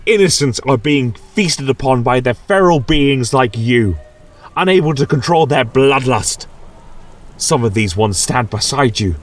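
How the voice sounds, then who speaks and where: A man's voice: mid-range voice, expressive, a little slow, voice pushed up, natural voice. A man playing an earnest, principled mage mentor who speaks in theatrical, pleading oratory in an audio fantasy tale. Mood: grave pleading.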